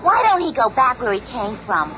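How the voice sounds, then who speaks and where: A complaining city onlooker in an old radio play, her voice high and swooping with indignation. The recording is noisy. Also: big pitch swings, moderate pace. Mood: indignant. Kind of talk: 1970s superhero radio drama acting.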